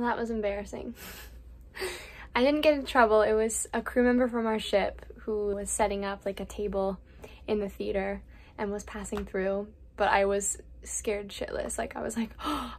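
A woman in her twenties, a cruise ship performer, chatting casually to a phone camera held at arm's length in a small cabin, bubbly with quick asides. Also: mid-range voice, a little mellow. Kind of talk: casual vlog chat to camera.

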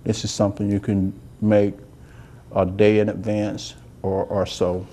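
Soft-spoken chef, low and mellow. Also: male voice, little pitch movement, unhurried. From Georgia, US. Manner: soft-spoken, rambling and homey, talks while working. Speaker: chef and cooking show host. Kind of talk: unscripted cooking demonstration. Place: indoor demo kitchen set.

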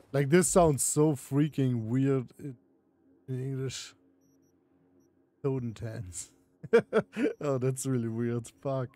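A man in his thirties with a German accent, giving casual, halting game commentary on a livestream. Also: mid-range voice, warm tone. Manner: halting, searching for words. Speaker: game streamer. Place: indoor room, streaming setup.

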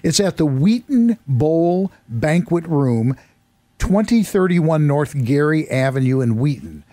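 A male radio host with a hoarse, worn voice, talking in a chatty, rambling, self-deprecating way in a studio. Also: a little high, very animated, slow pace, warm tone, dry recording. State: hoarse, losing his voice. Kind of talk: casual radio talk. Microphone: studio microphone.

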